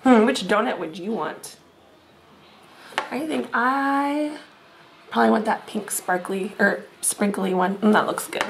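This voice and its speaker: A woman in her thirties reading a picture book expressively to children, asking them questions in an animated manner. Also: mid-range voice, unhurried, creaky, pauses often, noisy background. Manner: animated, addresses young listeners with questions. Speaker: children's read-aloud storyteller. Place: small room at home. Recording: roomy echo.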